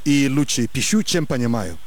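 A man's voice: medium pitch, big pitch swings, normal speed, background noise. A man putting on a Nigerian accent and broken Russian to play a cheerful, bumbling job applicant who stammers and mangles words. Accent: put-on Nigerian accent in broken Russian. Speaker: prank caller.

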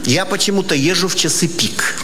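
A man in his sixties delivering a satirical comic monologue in a wry, deadpan way with weary pauses. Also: high voice. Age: 60s. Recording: hall reverb, faint background noise.

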